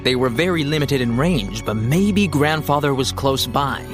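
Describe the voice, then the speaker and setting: Man as wry hero-narrator over soft music. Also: medium pitch, lively intonation, normal speed, close to an everyday voice. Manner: wry, confiding. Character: wry, self-mocking young hero narrating his adventure. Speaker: first-person narrator. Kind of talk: scripted dramatic narration.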